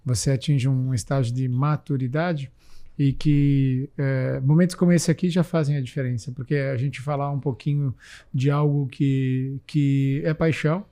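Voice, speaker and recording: A man in his forties from the São Paulo countryside, a physician and health manager, speaking reflectively in an interview with frequent pauses and fillers. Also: medium pitch, steady tone, warm tone. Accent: São Paulo countryside Brazilian Portuguese. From interior of São Paulo state, Brazil. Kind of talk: casual interview talk.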